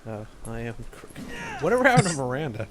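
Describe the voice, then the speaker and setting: A man's voice: mid-range voice, sing-song, quick pace, a crowd in the background. A young man bantering casually with crude, irreverent humour as co-commentator on a gaming video.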